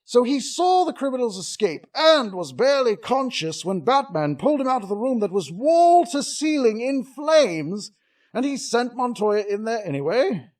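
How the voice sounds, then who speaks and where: A man's voice: extremely high pitch, sing-song, moderate pace, darkish tone, recorded from a distance. A man voicing a dryly pedantic mad-scientist villain in comedic banter, recorded a little off the microphone. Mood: indignant. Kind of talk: comedic character banter in a review show.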